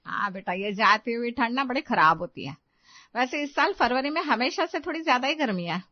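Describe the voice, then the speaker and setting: A woman voicing a wry, exasperated but affectionate older mother-in-law in an animated family story, teasing and grumbling. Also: a little high, a little fast, highs cut off. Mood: easygoing. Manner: teasing, dry-witted grumbling.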